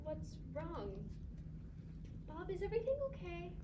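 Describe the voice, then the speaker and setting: A woman's voice: very high voice, animated, unhurried. A female drama student playing a festive, loving wife in a staged reading. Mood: concerned. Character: cheerful, festive, loving housewife baking for Christmas. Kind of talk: staged reader's theatre performance. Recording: distant echoey noisy live stage recording.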